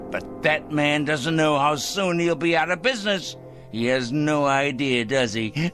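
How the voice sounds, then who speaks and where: A man's voice: fairly high pitch, fairly bright tone, heavily acted. An actor playing a paranoid, smug middle-aged man in a 1960s TV drama, talking theatrically to his pet bird. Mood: gloating. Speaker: fanatical letter-writing crank.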